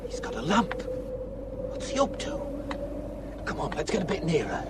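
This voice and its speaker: A grumbling village police sergeant in a radio play. He is brusque and sarcastic, with a high, animated voice over clearly noisy effects. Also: normal speed. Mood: hushed, tense. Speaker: actor in a radio drama. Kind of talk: radio drama dialogue.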